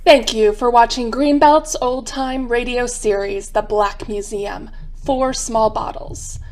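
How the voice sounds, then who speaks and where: A woman narrating a radio mystery in a measured, formal, dramatic storytelling style. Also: fairly high pitch, steady tone, a little mellow.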